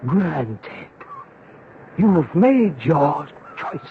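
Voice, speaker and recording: An actor playing a supernatural giant servant, formal, measured and deferential, in a 1970s radio drama. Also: male voice, on the high side, very animated, a little hiss or hum, exaggerated voice. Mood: solemn, imperious. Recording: roomy, muffled 1970s radio broadcast.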